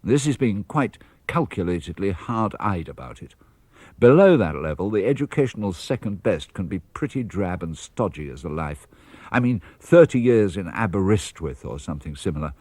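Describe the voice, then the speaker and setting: A man reads letters aloud in a measured, earnest, fatherly way. His voice sits fairly low yet rises and falls a great deal. The studio sound is close and dry. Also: big pitch swings, a little mellow, no echo. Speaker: reader of letters. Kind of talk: reading letters aloud for radio. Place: radio studio.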